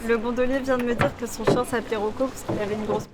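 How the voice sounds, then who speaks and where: A woman's voice: a little high, fairly even intonation, quick pace. A woman from Poland who grew up in Italy, hosting a casual travel vlog in an informal, slangy, exclamatory way. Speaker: vlog host. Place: outdoors in busy city streets and markets. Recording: loud outdoor market crowd and noise.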